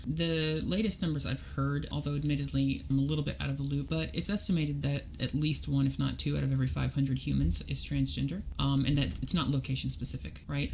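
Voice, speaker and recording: A woman in a relaxed podcast chat, her voice carrying a gravelly fry as she works through ideas point by point. She explains patiently and logically, with a faint hiss of noise in the background. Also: a bass voice. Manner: reasoned, explanatory, talks through arguments step by step. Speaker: podcast guest. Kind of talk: casual conversational interview.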